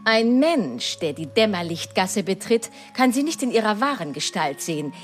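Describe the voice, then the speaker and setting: A woman's voice: mid-range voice, sing-song, moderate pace, faint music behind, natural voice. An actress playing a chatty poison-mixer witch in a radio play, effusive, theatrical and proud of her craft. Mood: matter-of-fact.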